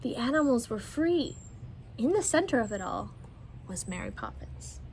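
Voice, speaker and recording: High-voiced woman reading a storybook, noisy recording. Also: sing-song, normal speed, a little mellow. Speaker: storyteller reading aloud for children. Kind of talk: expressive read-aloud storybook narration.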